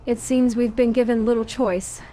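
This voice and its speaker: A woman plays a wary female warrior hero in a fantasy game. Her voice is on the high side, her manner blunt and questioning, over faint noise. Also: little pitch movement, normal speed, warm tone, natural voice. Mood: suspicious. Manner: direct, questioning. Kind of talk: video game dialogue.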